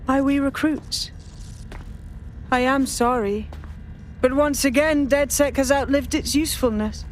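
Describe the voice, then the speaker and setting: Smug female game villain: coolly zealous, taunting, unhurried, slightly high voice. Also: background noise. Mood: calm conviction. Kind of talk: video game cutscene acting.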